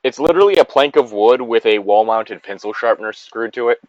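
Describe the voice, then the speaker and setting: A man's voice: medium pitch, expressive, a little fast, dry recording. A man co-hosting a casual story reading, drifting into tangents and exasperated, sweary asides. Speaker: co-host reading a story aloud with commentary. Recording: dull lo-fi microphone.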